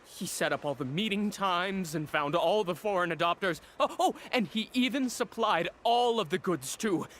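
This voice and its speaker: A panicky stammering smuggler. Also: male voice, high voice, lively intonation, normal speed, few pauses, fairly bright tone. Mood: confessing. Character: cowardly stammering male smuggler caught by guards. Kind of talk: game voice acting. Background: music.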